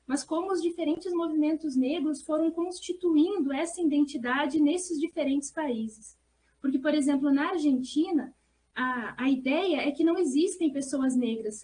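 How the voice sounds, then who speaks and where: A Brazilian woman speaks as a researcher in an academic discussion. Her voice sits high and has a mellow, gentle tone. She explains in an earnest, discursive way, often adding little check-in tags. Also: slight background noise, poor audio. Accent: Brazilian Portuguese.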